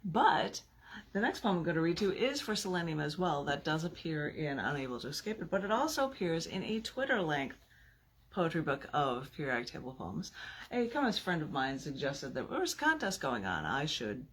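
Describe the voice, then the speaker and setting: A woman poet with a husky, gravelly voice, reading her work theatrically. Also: sits low, big pitch swings, a little fast. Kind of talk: dramatic poetry reading with casual asides.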